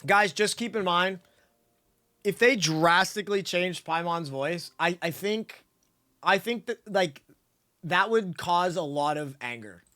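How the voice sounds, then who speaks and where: A woman's voice: fairly low pitch, a little slow, fairly bright tone. A young American woman streaming a game, chatting casually and playfully in slang as she reacts to the story and her chat. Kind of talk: casual livestream commentary. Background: game music.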